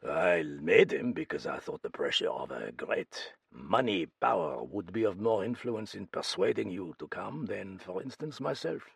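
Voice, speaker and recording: Theatrical older Frenchman, gravelly and lively, as a male reader puts him on. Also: deep voice, a little slow, hardly any pauses, no room sound, clean studio sound, full character voice. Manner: theatrical, mannered.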